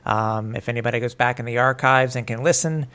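A man's voice: mid-range voice, a little fast, fairly bright tone, smooth. Man, podcast host, casual and rambling, lots of ums, self-mocking. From English-speaking, living in Montreal. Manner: rambling, filler-heavy, self-deprecating. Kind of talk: casual sports podcast chat.

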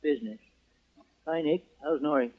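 An actor playing a folksy rustic farmer turned ham actor, in an old radio comedy. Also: male voice, a little high, moderate pace, close to an everyday voice. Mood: nervous, glum. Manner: folksy, plain-spoken. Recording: dull lo-fi old radio recording.

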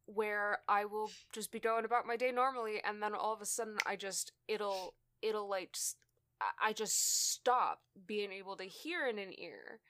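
Giggly, bright-voiced woman voice actor. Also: mid-range voice, normal speed. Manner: friendly, giggly, acts out little quotes. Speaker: podcast guest and voice actor. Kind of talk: casual podcast conversation.

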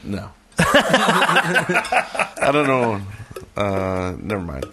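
A man's voice: on the low side, huge pitch swings, very slow, bright, forceful tone, a little hiss or hum. A man co-hosting a podcast in loose, casual banter. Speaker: podcast co-host.

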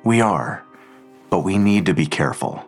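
An actor playing a protective, secretive man digging into a crime, speaking in a measured, reassuring way in an audio drama. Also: low voice, animated, faint music behind. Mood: guarded, focused.

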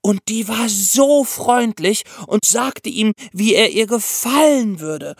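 Animated man telling history stories. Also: sits high, takes it slowly, vocal fry, no echo, clean studio sound. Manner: conversational, expressive storyteller. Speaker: podcast host. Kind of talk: lively storytelling of history for young listeners.

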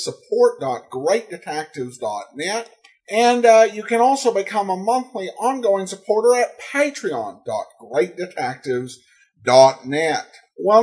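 A man with a fairly high voice hosts a podcast. He sounds informal and plainspoken as he runs through introductions and housekeeping. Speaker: podcast host.

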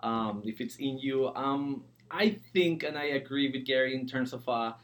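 Podcast guest: man, forties, from Mexico, mid-pitched voice, conversational entrepreneur. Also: moderate pace. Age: 40s.

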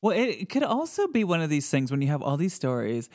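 A woman's voice: low-pitched, sing-song, fast, a little mellow. A woman hosting a paranormal podcast, casual and curious, encouraging her caller's story. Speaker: podcast host. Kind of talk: casual chat.

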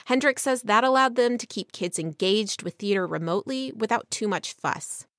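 A woman's voice: mid-range voice, moderate pace, a bit bright, dry recording. Woman news reporter, mid-range voice, scripted radio narration, tight dry sound.

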